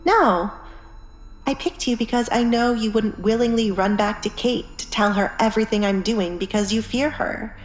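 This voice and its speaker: A woman's voice: on the high side, moderate pace, echoey room, pitched above the performer's own voice, close to an everyday voice, rough recording. A woman playing a guarded, commanding young noblewoman in an audiobook drama, speaking in a firm, controlled way. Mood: frank, assured. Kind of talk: audiobook drama character acting. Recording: music behind the voice.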